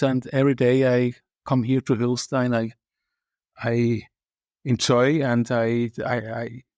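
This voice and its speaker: A man speaks as a company chief in an interview. He talks thoughtfully, with fillers and restarts, at a middle pitch. The sound is close and dry. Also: no echo. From Switzerland. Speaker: watch company CEO, guest. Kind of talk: casual interview answers.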